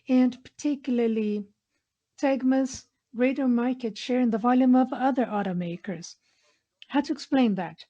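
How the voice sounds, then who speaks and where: A woman interpreting a corporate earnings call in measured phrases, pausing mid-sentence. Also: on the high side, warm tone. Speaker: interpreter voicing conference call speakers. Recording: dull, lo-fi conference line audio.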